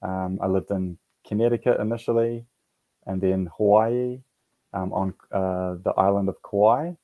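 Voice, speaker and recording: New Zealand man, forties, dark mellow tone, hesitant and halting, dry sound. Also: deep voice, fairly even intonation, dry recording. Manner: hesitant, many fillers and restarts. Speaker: guest. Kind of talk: casual interview.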